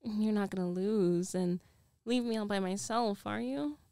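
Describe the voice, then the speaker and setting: Slow, mellow, teasing dominant girlfriend played by a woman. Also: medium pitch, no room sound, clean studio sound, natural voice. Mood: teasing, coaxing. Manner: slow, coaxing, breathy teasing, often dropping to a whisper. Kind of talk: intimate ASMR roleplay.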